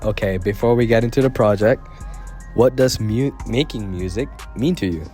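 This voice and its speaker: A young man interviewing a musician, asking short relaxed questions. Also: fairly low pitch, normal speed, music in the background.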